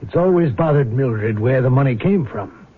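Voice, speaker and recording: An actor playing a middle-aged, self-deprecating rich husband in a radio drama, hesitant, courteous and cryptic, with a husky voice. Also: male voice, medium pitch, lively intonation, moderate pace. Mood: cryptic, brooding. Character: troubled wealthy betrayed husband, secretive and self-deprecating godfather. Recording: muffled old radio drama recording.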